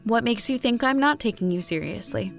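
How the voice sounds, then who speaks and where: A woman's voice: fairly high pitch, animated, brisk, creaky, soft background music. An actress voicing a wife who answers her husband in a dry, sardonic way.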